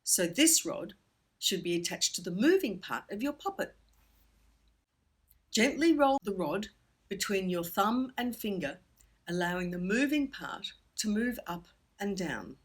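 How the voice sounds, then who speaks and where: A woman giving an instructional presentation for children in a playful, expressive manner with emphatic pauses. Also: medium pitch, husky. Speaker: puppetry workshop instructor.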